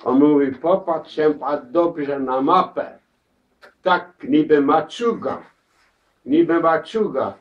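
An elderly man shares his war memories in a crowded living room. He speaks haltingly, repeating words and restarting his sentences. He is picked up from across the room on a dull camera mic. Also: on the high side. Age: older. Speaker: war veteran interviewee. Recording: distant, dull camera audio.

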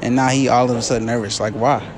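A man's voice: medium pitch, steady tone, fast. A young man interviewing strangers in a large clothing store with a handheld mic, joking and teasing as he talks. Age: young adult. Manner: joking, teasing, laughs a lot. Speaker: street interviewer. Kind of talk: playful prank interview. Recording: loud music behind.

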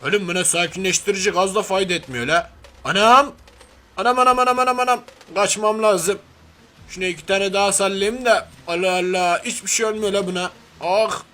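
A man's voice: high voice, fairly quick, pushed and bright. A man voicing a brash, grumbling, slangy macho man turned reluctant superhero in a cartoon parody. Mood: exasperated. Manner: blustering, slangy, threatening then sentimental. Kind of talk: cartoon parody character dub.

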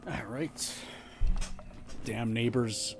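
A man's voice: medium pitch, extremely slow. A man giving casual live gameplay commentary, thinking aloud in short, slangy remarks. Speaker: video game streamer. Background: game music.

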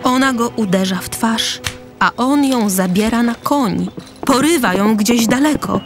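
A woman's voice: fairly high pitch, unhurried. A woman reading scripted present-tense narration for a radio play. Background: music.

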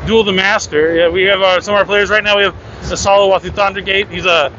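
A man's voice: sits high, flat delivery, fast talker. A young man giving an upbeat walking tour of a convention booth, talking into a handheld mic with many fillers. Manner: upbeat and promotional, frequent fillers while walking. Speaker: booth guide for a card game company. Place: busy convention hall indoors. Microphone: handheld mic with windscreen. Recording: dull audio with loud crowd noise.

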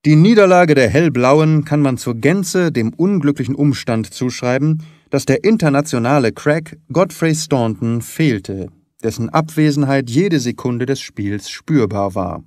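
A man reads as a detective's loyal gentleman companion in an audiobook. He speaks plainly and earnestly, at a medium pitch with a dry sound. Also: lively intonation, unhurried, no echo, close to an everyday voice. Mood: informative. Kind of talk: audiobook character reading.

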